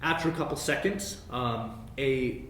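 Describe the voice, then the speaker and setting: Halting male game master in echoey room. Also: a bit bright. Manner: halting, pausing to think up details. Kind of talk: improvised tabletop game narration. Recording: echoey room with faint rumbling noise.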